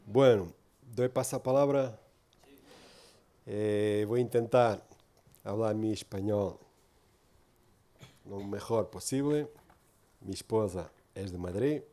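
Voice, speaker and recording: A man's voice: fairly low pitch, takes it slowly, long pauses, warm tone. A man from Portugal preaching in Spanish with a Portuguese accent, giving an earnest, informal sermon full of tag questions.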